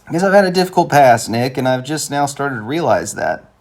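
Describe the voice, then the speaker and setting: A man's voice: mid-range voice, lively intonation, fairly quick, a little mellow, lightly acted. A man voicing a moody, nostalgic young man in a cartoon, speaking in an earnest, hesitant, melodramatic way. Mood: self-pitying. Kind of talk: animated comedy-drama dialogue.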